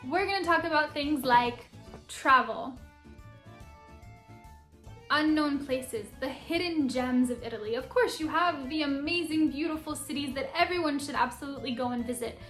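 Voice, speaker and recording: Bubbly high-voiced woman in an echoey room. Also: moderate pace, a bit bright. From Philadelphia, United States. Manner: enthusiastic, bubbly, laughs often. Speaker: vlogger and Italian teacher. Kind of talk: upbeat vlog introduction to camera. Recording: echoey room with faint music.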